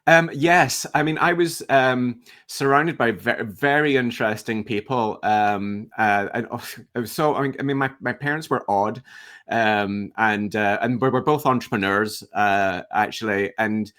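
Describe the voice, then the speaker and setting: A Scottish man in his fifties chatting as an interview guest, halting and reflective, with a self-deprecating manner. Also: lowish voice, a bit bright. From west coast of Scotland. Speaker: guest, entrepreneur and coach. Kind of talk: casual interview chat.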